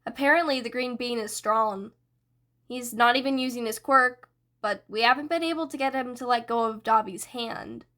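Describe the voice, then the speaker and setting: A woman's voice: on the high side, normal speed, sharp tone. A woman voicing a blunt, casual villain woman who talks like an older sister. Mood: amused.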